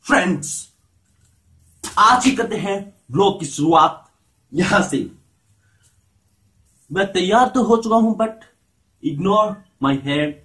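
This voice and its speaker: A man's voice: high voice, animated, slow pace, long pauses, a bit bright. A playful young man from India, vlogging casually to a camera held at arm's length, at home and outdoors in a village. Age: young adult. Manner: playful, jumps between Hindi and broken English. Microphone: handheld camera at arm's length.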